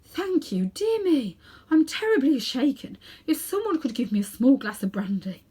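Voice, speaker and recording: A feeble old gentleman as a woman reader puts him on, badly shaken. The voice is faint and breathy, slipping toward a whisper, in a storybook style. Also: high-pitched, big pitch swings, moderate pace, pitched above the performer's own voice.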